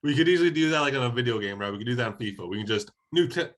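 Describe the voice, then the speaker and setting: A man's voice: mid-range voice, expressive, racing, a bit bright. Laid-back man with a head cold. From Oregon, USA. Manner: laid-back, conversational, many fillers. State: head cold, slightly hoarse. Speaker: podcast co-host. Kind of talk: casual podcast chat.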